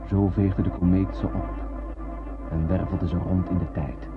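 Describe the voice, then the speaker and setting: An actor playing a haunted lone survivor of a space voyage, narrating solemnly and poetically in a radio drama. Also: male voice, low voice, level tone, measured pace, over music. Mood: grave, intense. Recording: noisy, thin, phone-like old radio recording.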